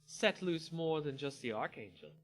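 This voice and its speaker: A man's voice: a little high, big pitch swings, normal speed, fairly bright tone, soft background music, voice pushed up. A man voicing a gleeful, imperious female vampire hostess with a theatrical, gushing and conspiratorial manner in a dramatic story reading.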